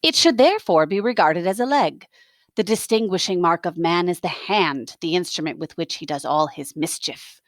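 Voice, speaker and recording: A woman's voice: on the low side, expressive, normal speed, no room sound, clean studio sound, natural voice. A woman voicing an eloquent pig orator from a storybook, declamatory and formal, persuading the farm animals. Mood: emphatic, persuasive.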